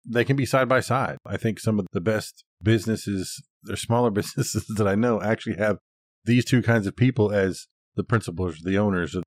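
A man's voice: fairly low pitch, normal speed, no echo. A man co-hosting a podcast, talking in a casual, reflective and thoughtful manner. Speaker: podcast co-host.

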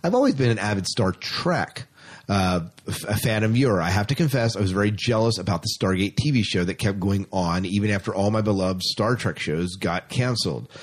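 A man's voice: lowish voice, brisk, breathy edge. A man co-hosting a podcast in a casual, informal chatting style, sometimes reading out listener messages. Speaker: podcast co-host.

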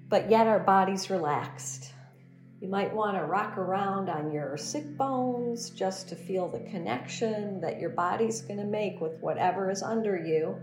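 A woman leading a guided meditation as a teacher, speaking in a gentle, soothing, unhurried instructional manner. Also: mid-range voice. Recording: roomy echo under background music. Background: meditation music.

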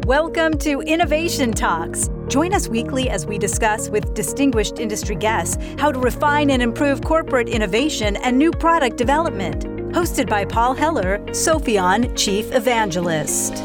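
A woman's voice: on the high side, animated, moderate pace, hardly any pauses, over loud music. A woman reading a scripted intro for a podcast as its announcer. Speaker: show announcer.

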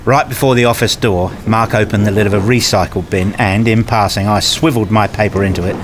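A man's voice: fairly low pitch, steady tone, brisk, a little hiss or hum, close to an everyday voice. A man playing a wry, bookish academic who narrates and talks in a radio play, with dry, wordy scripted delivery. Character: wry male academic narrating his own puzzled days. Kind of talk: scripted first-person narration and dialogue.

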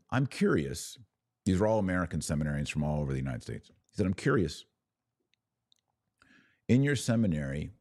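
Very deep-voiced man teaching religion. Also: warm tone, no room sound, clean studio sound. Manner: didactic, deliberate, with emphatic pauses between phrases. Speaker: podcast host and religious teacher.

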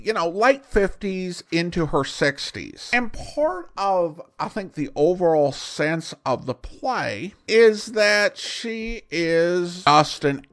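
A man speaks as a reviewer hosting a show, in a measured, conversational way. His pitch sits a little high and moves around a great deal. He delivers his opinions at a slow pace. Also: a bit bright. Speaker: host reviewing old radio drama. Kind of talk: semi-scripted review commentary.

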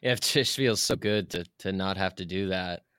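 Casual male host with a lowish voice. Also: normal speed, fairly bright tone, clean tone, no room sound, clean studio sound. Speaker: podcast host, interviewer. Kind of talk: casual interview chat.

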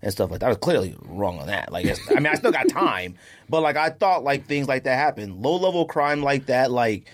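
A man with an American accent chatting casually as a podcast co-host, telling stories in an animated, slangy way with frequent chuckles. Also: medium pitch, brisk. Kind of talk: casual chat with anecdotes.

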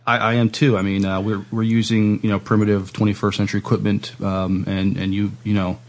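Low-voiced man hosting, halting and polite. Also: flat delivery. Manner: halting, polite, plays along. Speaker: podcast host, interviewer. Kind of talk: playful casual interview.

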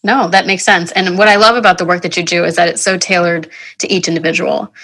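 A female podcast host chats easily with her guest, full of fillers and quick asides. Her voice is bright and forceful, though it sits a little low in pitch. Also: fairly even intonation, creaky, low-quality recording. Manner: chatty, friendly, filler-heavy. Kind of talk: casual interview chat.

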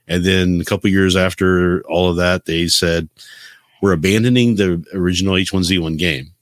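Casual male gaming podcast co-host, deep register, explanatory storytelling delivery, dry sound. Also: fairly even intonation, normal speed, no room sound. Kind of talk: casual chat about video games.

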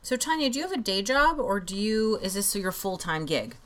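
A woman's voice: medium pitch, very animated, fairly quick, fairly bright tone. A woman hosting an interview, reflective and enthusiastic, with many fillers as she talks. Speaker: podcast host. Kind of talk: conversational interview.

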